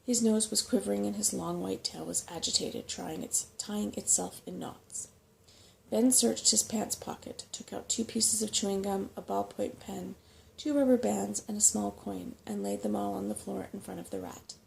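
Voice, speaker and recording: A woman reading a storybook in a soft-spoken ASMR style, as a bedtime narrator. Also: medium pitch, fairly even intonation, normal speed, gravelly, a little hiss or hum, rough recording. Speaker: reader of a bedtime story for relaxation.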